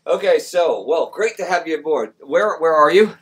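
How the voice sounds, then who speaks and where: A man's voice: fairly high pitch, animated, moderate pace. Middle-aged American man, casual host. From United States. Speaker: live stream host. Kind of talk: casual chat, answering audience questions. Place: small indoor room, seated.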